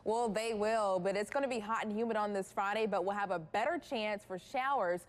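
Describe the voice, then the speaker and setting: A woman's voice: mid-range voice, a little fast. A woman with an American accent presenting the weather forecast as a television meteorologist.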